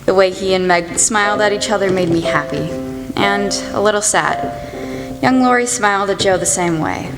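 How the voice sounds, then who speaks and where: A woman's voice: mid-range voice, normal speed, lightly acted. Wistful mother narrating over music , acted by a woman. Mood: wistful, fond. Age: teenager or young adult. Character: gentle, wistful mother narrating her daughters' story. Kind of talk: scripted radio play narration. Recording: echoey hall. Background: loud music.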